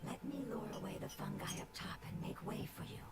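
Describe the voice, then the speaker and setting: A woman voicing a solemn, gentle non-human guardian in a video game, speaking softly and formally in measured phrases. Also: medium pitch, natural voice. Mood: earnest. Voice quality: soft, breathy, whispery.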